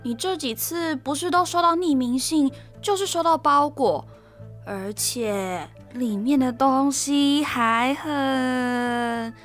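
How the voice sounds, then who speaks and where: A woman's voice: high voice, slow pace, faint music behind. A woman playing a caring, soothing younger female roommate in a radio drama. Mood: concerned.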